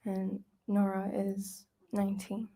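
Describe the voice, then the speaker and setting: An eighteen-year-old woman from Iraq answers on the witness stand in short, soft replies, sometimes dropping almost to a whisper. Her voice is mellow and very flat, with little rise or fall. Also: mid-range voice, takes it slowly. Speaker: trial witness. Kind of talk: testimony under questioning.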